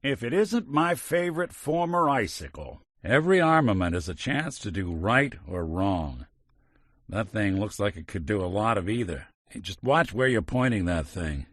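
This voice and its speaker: A man's voice: fairly low pitch, sing-song, moderate pace, a bit bright, no echo. An actor voicing a world-weary male robot detective in a game, talking in dry, hard-boiled wisecracks. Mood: wry. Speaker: game companion character. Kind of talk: video game voice acting.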